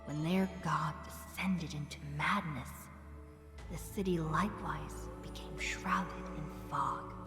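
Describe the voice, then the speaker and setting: A woman playing a solemn storyteller in a game, narrating a hero's legend in a measured, grave, theatrical manner. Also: sits low. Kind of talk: scripted dramatic narration. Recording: echoey hall reverb, loud music behind.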